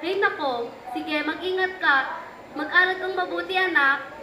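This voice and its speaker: A young-sounding woman plays a puppet-show mother who nags and cares for her schoolgirl daughter. Her voice is very high and bright, and it rings in an echoey room. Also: moderate pace, a little hiss or hum. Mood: patient, resigned. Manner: nagging.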